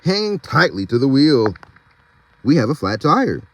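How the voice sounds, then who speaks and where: Man as a practical storybook bus driver, animated. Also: mid-range voice, measured pace, dry recording, poor audio. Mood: matter-of-fact.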